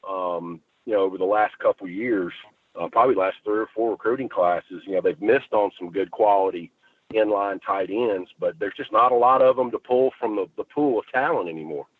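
A man with a husky voice giving football analysis on sports talk, explaining in a halting, folksy way. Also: lowish voice. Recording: tinny phone line, roomy echo.

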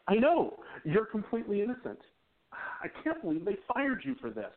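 Man as loving young husband, lively high-ish voice, tinny. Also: normal speed. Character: young male newspaperman, loving and devoted. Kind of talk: amateur radio play acting. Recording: tinny phone-like sound.

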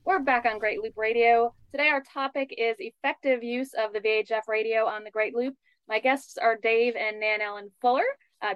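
A woman's voice: mid-range voice, smooth, dry recording, muffled recording. A woman hosting a radio show, introducing segments and putting questions to guests in a conversational style.

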